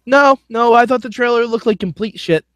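A man's voice: extremely high pitch, a little fast, a bit bright. A man co-hosting a podcast in casual, blunt, wisecracking chat peppered with swearing. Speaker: podcast co-host.

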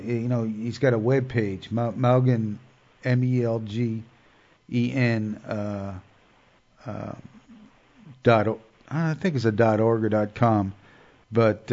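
A man's voice: medium pitch, darkish tone. A man with a cold and a stuffed-up nose, hosting a show in a rambling casual monologue full of fillers and restarts. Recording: dull lo-fi sound.

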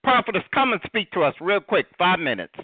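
A man's voice: sits high, sing-song, fairly quick. A man hosting a church phone broadcast, urging the listeners to clap and give God praise. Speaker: host. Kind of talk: sermon call hosting. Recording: tinny phone line with crowd.

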